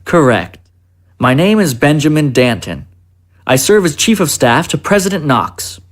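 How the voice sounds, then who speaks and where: A man's voice: a little high, very animated, unhurried. Man playing a formal, courteous government aide. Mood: courteous, guarded. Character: polite, secretive presidential chief of staff. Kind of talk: audio drama dialogue.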